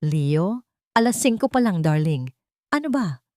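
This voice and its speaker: A woman's voice: lowish voice, very animated, a little slow, dark tone, no echo, studio quality. A woman narrator voicing a cold, rich fiancée in a story, bored and dismissive. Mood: irritated, groggy.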